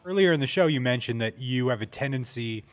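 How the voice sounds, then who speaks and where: A man's voice: medium pitch, animated, a little fast, soft background music. A man hosting a casual interview, with a teasing, joking way of talking. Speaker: podcast host, interviewer.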